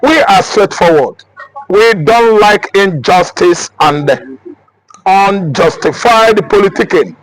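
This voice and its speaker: A man's voice: high-pitched, slow pace, low-quality recording. A man from Nigeria with a Nigerian accent, speaking in an emphatic, repetitive, declaiming way as an interviewee. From Anambra State, Nigeria. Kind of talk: heated street interview talk.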